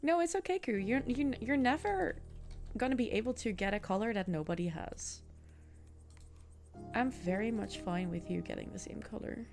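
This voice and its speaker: A woman's voice: mid-range voice, expressive, normal speed, gravelly, a little mellow, soft background music. A young woman with a Dutch accent, streaming a game and chatting casually with her viewers, often restarting sentences. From Netherlands. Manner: chatty, answers chat, frequent laughs and restarts. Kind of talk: casual chat with viewers while gaming.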